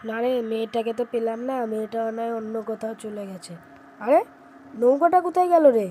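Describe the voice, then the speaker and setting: A woman's voice: fairly high pitch, lively intonation, fairly quick, mellow, a little hiss or hum, close to an everyday voice. A woman playing a bossy young friend on a night picnic in a cartoon, giving informal orders. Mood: puzzled. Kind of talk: cartoon voice acting. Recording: music behind the voice.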